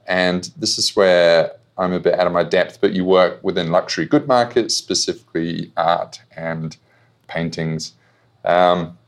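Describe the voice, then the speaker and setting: A man hosting an interview podcast, talking loosely with many ums and restarts. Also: low-pitched. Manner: rambling, many fillers and restarts. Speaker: podcast host.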